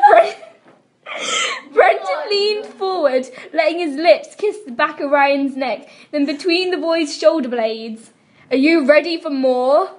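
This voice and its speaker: A young woman with a high voice reads fan fiction aloud as an amateur. She performs it as a dramatic reading. Her delivery is giggly and prone to breaking up. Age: young adult. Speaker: amateur reader. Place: room at a school.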